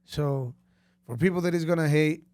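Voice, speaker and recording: A man with a Costa Rican Spanish accent, co-hosting a casual podcast chat in a relaxed way. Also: on the high side, normal speed, clear, resonant tone, no echo. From Costa Rica. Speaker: podcast co-host.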